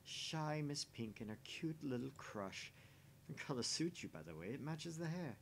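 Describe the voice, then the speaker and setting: Smug, sneering married man acted by a male player for a visual novel; condescending, very animated intonation, faint rumble behind. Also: normal speed, vocal fry. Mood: mocking, smug. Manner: mocking, condescending. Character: smug, sneering, jaded married man teasing his dinner date. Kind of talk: voice-acting visual novel dialogue. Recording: faint background rumble and noise.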